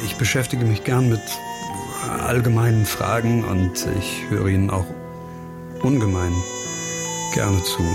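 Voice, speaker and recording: An actor playing a wry, impatient investigator in a radio play, with a dry, probing manner. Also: male voice, fairly low pitch. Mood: wry, hesitant. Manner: dry, probing, hesitant asides. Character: wry, impatient male investigator questioning a witness.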